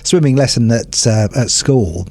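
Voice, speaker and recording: A man's voice: medium pitch, a little slow, darkish tone. A middle-aged British man co-hosting a podcast, chatting casually and wryly as he reminisces. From United Kingdom. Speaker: podcast co-host. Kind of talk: casual nostalgic chat.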